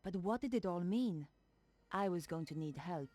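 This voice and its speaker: A woman's voice: fairly low pitch, animated, moderate pace, warm tone. An actress playing a determined young woman journalist in a video game. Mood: pensive. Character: young female investigative journalist, earnest and determined. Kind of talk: video game voice acting, narration and dialogue.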